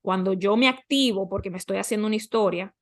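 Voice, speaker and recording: A woman's voice: mid-range voice, quick pace. A woman speaking as a podcast guest and coach, giving conversational advice and explaining through questions and examples.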